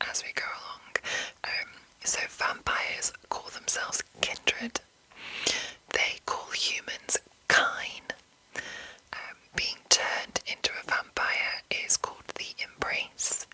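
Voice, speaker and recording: A woman whispering softly and unhurriedly in an ASMR style, explaining things in a loose, rambling way with drawn-out pauses. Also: fairly low pitch, low-quality recording. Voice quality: breathy unvoiced whisper. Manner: slow, hushed, drawn-out pauses. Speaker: ASMR host introducing a roleplaying game.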